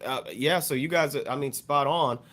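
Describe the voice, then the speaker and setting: An American man taking part in a casual podcast panel, talking in a measured, agreeable way. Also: on the high side, fairly bright tone, slight background noise.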